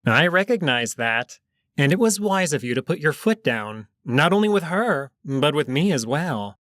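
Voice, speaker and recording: A man playing a shrewd, composed jackal schemer in a visual novel, speaking in a measured, formal, cautioning manner. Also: fairly high pitch, very animated, fairly bright tone, no room sound, clean studio sound. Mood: calm, cautioning. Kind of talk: visual novel character acting.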